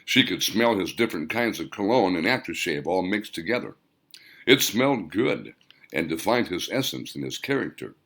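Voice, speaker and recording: A man reading his own fiction aloud as scripted audiobook narration, in a deliberate manner. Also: low-pitched, very animated, fairly bright tone.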